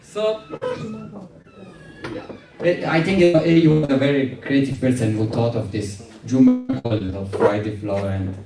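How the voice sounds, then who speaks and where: Slow, animated man joking wryly. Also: medium pitch, over music. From Afghanistan. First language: Farsi. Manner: wry, deadpan joking with laughing asides. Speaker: stand-up comedian. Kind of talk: stand-up comedy set. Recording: roomy sound from a distant mic.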